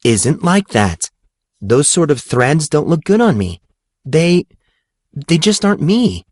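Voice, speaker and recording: A man's voice: on the high side, sing-song, slow pace, a little mellow, no room sound, studio quality, pitched above the performer's own voice, full character voice. A man voicing a sarcastic, easily exasperated teenage tomboy girl in an audiobook story. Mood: defensive.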